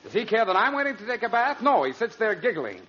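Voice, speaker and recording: A man's voice: high-pitched, big pitch swings, quick pace. An actor playing an exasperated, fussy middle-aged father in 1940s radio comedy style, indignant and sputtering. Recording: dull, noisy old radio recording.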